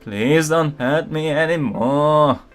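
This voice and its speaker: A man's voice: fairly high pitch, takes it slowly, faint music behind, pitched above the performer's own voice. A man voicing a timid young male lion cub, pleading in a plaintive, exaggerated way for a comic story reading.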